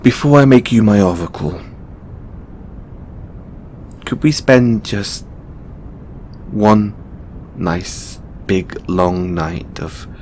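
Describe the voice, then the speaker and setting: A man playing a flustered, tender god boyfriend in an intimate audio roleplay, stammering and repeating his words. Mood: tender, affectionate. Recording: noticeable low rumbling background noise.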